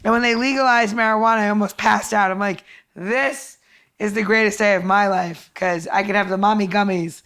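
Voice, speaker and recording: A middle-aged woman hosts a podcast in a casual way. Her voice sits at a medium pitch. She talks informally and repeats phrases to make her point. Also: steady tone, fairly quick, fairly bright tone. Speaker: podcast host. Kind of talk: casual chat.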